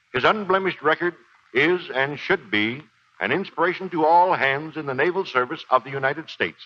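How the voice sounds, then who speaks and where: An actor playing a formal, dignified Marine commanding officer in a 1940s radio drama, speaking in a measured, official way. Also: male voice, on the high side. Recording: dull 1940s radio broadcast.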